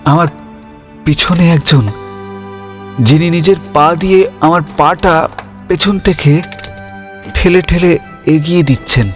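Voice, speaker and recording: A slow, wistful young man, emotive. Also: on the high side. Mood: reflective, hopeful. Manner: halting, stammering, emotive delivery. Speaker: narrator and lead character. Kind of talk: dramatic Bengali audio story narration. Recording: music under the voice.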